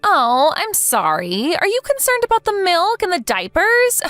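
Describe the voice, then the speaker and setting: A woman's voice: extremely high pitch, wildly swooping pitch, unhurried, fairly bright tone, dry recording, soft background music, exaggerated voice. A woman playing a smug, taunting young mistress in a dramatized story, sneering and condescending to her rival. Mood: mock sympathy. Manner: mocking, gloating, condescending.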